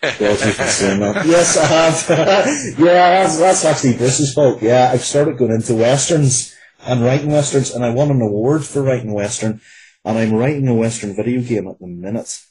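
A man's voice: medium pitch, normal speed, darkish tone, low-quality recording. A man speaking as an interview guest and writer, casually and earnestly, in a reflective way. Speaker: guest, writer of fiction, comics and games.

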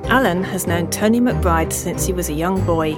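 A woman reads a scripted podcast introduction in a low voice. Loud music plays right under her words throughout. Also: normal speed. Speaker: podcast announcer.

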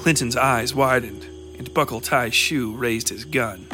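A man narrating a scripted dark western story for a fiction podcast. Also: medium pitch, unhurried, music in the background.

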